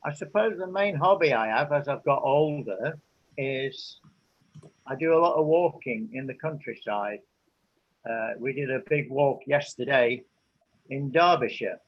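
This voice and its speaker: An older man from England answering interview questions in an unhurried, hesitant way, with many fillers. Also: medium pitch, highs cut off.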